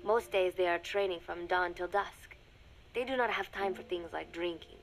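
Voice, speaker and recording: An actress playing a young secret agent confiding in a recorded diary, speaking in measured, formal phrases that often drop to a murmur, wistful and resigned. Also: female voice, on the low side, faint music behind, natural voice, low-quality recording. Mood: wry, amused. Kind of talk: scripted dramatic monologue, recorded diary.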